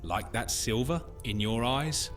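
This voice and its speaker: A man's voice: medium pitch, unhurried, fairly bright tone, natural voice. An actor playing a curious, chatty half-witch newcomer in an audio drama, inquisitive and informal. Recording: music under the voice.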